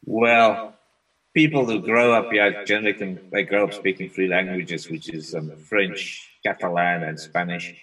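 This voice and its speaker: A man whose first language is Afrikaans, a software consultant talking as a guest on a remote interview in a relaxed, rambling way. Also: deep voice, a little slow, far from the mic, low-quality recording. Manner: relaxed, rambling with frequent restarts. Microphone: video call.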